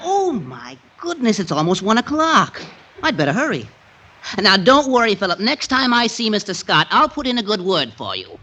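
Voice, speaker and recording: A man's voice: sits high, wildly swooping pitch, normal speed, pushed and bright, heavily acted. An actor playing a prissy, self-satisfied, eager-to-please male bookkeeper in a 1940s radio comedy. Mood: prim, condescending. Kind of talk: 1940s radio sitcom acting.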